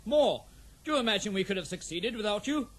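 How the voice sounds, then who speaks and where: A man's voice: high voice, expressive, a little fast, a bit bright. A man playing a proud, noble warrior prince and loving father in a fantasy audio drama, with stately, declamatory speech. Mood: insistent.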